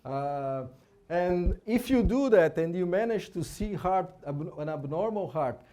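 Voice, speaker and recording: A man's voice: high voice, animated, measured pace, warm tone. A man from Brazil with a Brazilian Portuguese accent, speaking English as a conference speaker on fetal hearts, informal and full of restarts and tag questions. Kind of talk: conference lecture with live scan.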